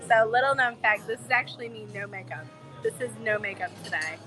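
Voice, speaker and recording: Hoarse, chatty woman vlogging in a bar. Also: mid-range voice, fairly quick, sharp tone. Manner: bubbly, chatty, gushing. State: hoarse from a cold. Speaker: travel vlogger. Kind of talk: casual live-stream chat with viewers. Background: music playing in the bar.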